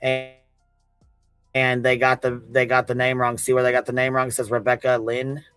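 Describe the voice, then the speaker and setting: Flat-toned American man, casual and sweary. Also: mid-range voice, moderate pace, smooth, no room sound, soft background music. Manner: informal, sweary, slangy. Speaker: livestream host and commentator. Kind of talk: casual livestream commentary, recounting a story.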